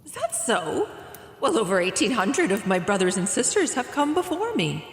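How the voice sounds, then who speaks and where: A woman playing a jolly, grand giant spirit with a booming theatrical delivery in a radio drama. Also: huge pitch swings, normal speed. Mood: jovial, grand. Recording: echoey voice with long reverb.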